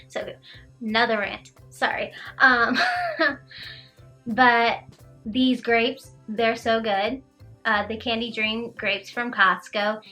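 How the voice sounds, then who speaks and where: A woman's voice: on the high side, bright, forceful tone. A young woman from Georgia, chatting casually to camera in a home kitchen, rambling and giggly. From Georgia, USA. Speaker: lifestyle vlogger. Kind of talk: casual vlog chat to camera.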